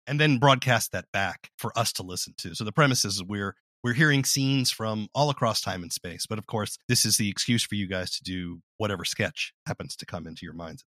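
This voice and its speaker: A man's voice: lowish voice, fairly bright tone, no echo, studio quality. A man hosting an interview, asking questions and summing up in a conversational way. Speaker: interview host.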